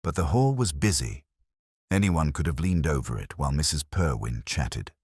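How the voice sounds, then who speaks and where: A man's voice: rumbling low, lively intonation, normal speed, darkish tone, husky, no room sound, studio quality, full character voice. A man voicing a dutiful, hesitant police sergeant who reports plainly and deferentially in a mystery story.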